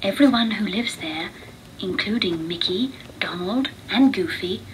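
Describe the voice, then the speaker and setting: Female storybook narrator, unhurried and expressive, mid pitch, audible background noise. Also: low-quality recording. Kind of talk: read-along storybook narration.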